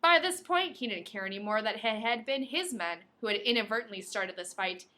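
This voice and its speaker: A woman's voice: fairly high pitch, lively intonation, fairly quick, bright, forceful tone. A woman podcast host reading a novel aloud expressively, breaking into playful, casual asides.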